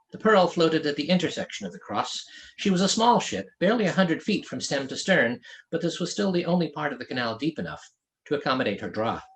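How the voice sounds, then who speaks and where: A man narrating a story as an author reading his own novel, in an expressive storytelling delivery. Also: a little high, rough recording. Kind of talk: scripted audiobook narration.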